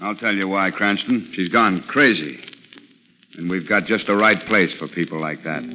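An actor playing a blunt, blustery police commissioner in 1940s radio drama, brusque and emphatic. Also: male voice, deep voice, normal speed, natural voice. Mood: brusque, grim. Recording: noisy, dull vintage radio recording.

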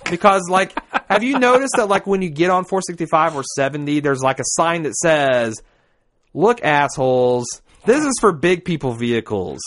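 A man's voice: fairly high pitch, expressive, normal speed, fairly bright tone. An American man co-hosting a podcast, riffing casually and comically with irreverent, profane, ranting delivery. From United States. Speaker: podcast co-host. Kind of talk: casual comedic banter, reading listener feedback.

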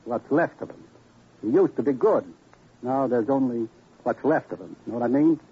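An actor playing a folksy boxing manager in an old radio crime drama, rambling and repeating himself in streetwise talk. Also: male voice, medium pitch, moderate pace, husky. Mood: regretful, rambling. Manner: repetitive, streetwise, chatty. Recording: muffled vintage radio recording.

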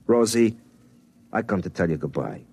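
A man's voice: low voice, sing-song, normal speed, vocal fry, dark tone, breathy edge, full character voice. An actor playing an earnest, hapless young telephone lineman, telling his own story in a folksy, self-dramatizing way in a comic radio drama. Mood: gloomy, agitated. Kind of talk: first-person comic radio drama narration and dialogue. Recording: classic radio show with music underneath.

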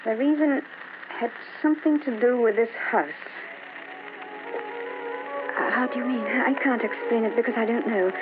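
A woman's voice: a little high, moderate pace, pauses often, over music, close to an everyday voice. An actress playing a mysterious woman who speaks cryptically and forebodingly in an old time radio horror drama, on a tinny vintage recording. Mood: ominous, cryptic. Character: mysterious, ominous woman acquaintance hinting at deadly secrets.

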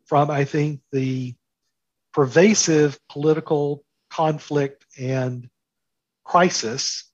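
Male interview guest, slightly high and rough-edged, emphatic explainer of big ideas, close dry lo-fi sound. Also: no room sound. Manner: explains with analogies and rhetorical questions. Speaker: interview guest, market and politics commentator.

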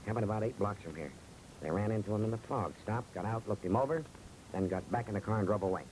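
An actor playing a weary, plain-spoken, sympathetic police detective in a matter-of-fact radio drama style. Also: male voice, on the low side, quick pace, darkish tone, natural voice. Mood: sombre. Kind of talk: 1940s radio acting. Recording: faintly noisy old radio recording.

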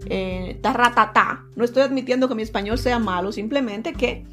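Woman podcast host, teasing and chatty, slightly high voice. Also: normal speed, over music. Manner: chatty and teasing, calls listeners "señores" and "mi amor". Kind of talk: casual talk to listeners.

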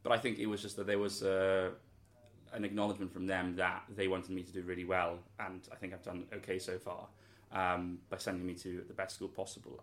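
Young guy in an interview, really low voice, pretty monotone, earnest and hedgy. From Wales. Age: young adult. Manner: earnest, thoughtful, with frequent hedges and restarts. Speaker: interviewee, student. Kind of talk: interview answers.

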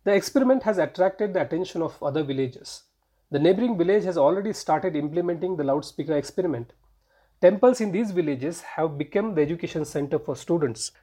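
A man's voice: fairly high pitch, animated, fast, dark tone, slightly rough. A man with an Indian accent reading scripted news narration as a podcast host and reporter.

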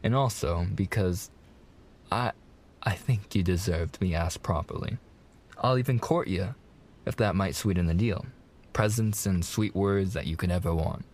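Casting: male actor as smitten young mobster boyfriend for ASMR roleplay. Low voice, slangy, earnest, protective, haltingly sweet. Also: a little mellow. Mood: tender, hesitant. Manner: slangy wiseguy talk, hesitant, earnest, reassuring. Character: smitten young mafia man, earnest, protective, gently courting his fiancée.

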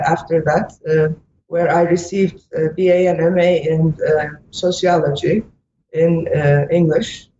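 A middle-aged woman from Turkey with a Turkish accent, talking reflectively and haltingly as an interview guest, with very frequent hesitations. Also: fairly low pitch, warm tone. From Istanbul, Turkey. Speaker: interview guest, sociology professor and author. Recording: echoey, muffled, distant, noisy remote line.

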